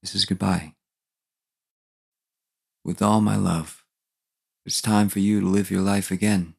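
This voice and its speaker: A man acts as a departed lover's spirit in an audio drama, gentle and solemn. The voice sits low, heavily creaky and husky, as it reads a loving farewell letter. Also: fairly even intonation, unhurried, exaggerated voice. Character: gentle spirit of a dead partner, lovingly saying goodbye.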